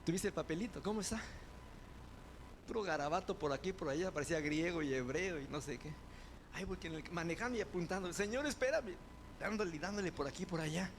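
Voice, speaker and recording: A man preaching a sermon as pastor, building to loud fervent proclamations and dropping into quick conversational asides. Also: fairly high pitch, slight background noise.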